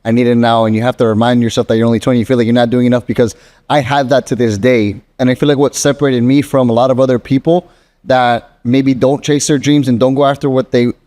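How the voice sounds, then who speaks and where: A man runs a casual interview, pressing his guest with questions and reacting with emphatic, hyped praise. His voice is mid-pitched and mellow, with a warm, relaxed tone. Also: quick pace. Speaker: interviewer host.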